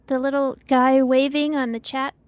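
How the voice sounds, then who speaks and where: Woman, fairly high pitch, casual gaming chat, livestream co-host. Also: little pitch movement, moderate pace, clear, resonant tone, no room sound. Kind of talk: casual chat while playing a video game.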